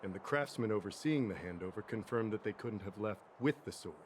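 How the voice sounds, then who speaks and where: A man's voice: lowish voice, animated, brisk, slight background noise, natural voice. An actor playing a firm, methodical male investigator in a video game.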